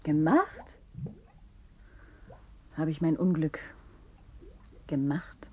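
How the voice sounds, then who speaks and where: A slow, husky tragic barfly woman. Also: sits low, sing-song, muffled recording, heavily acted. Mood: anguished. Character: tragic, worldly barfly woman reliving a lost love. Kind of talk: German radio drama acting.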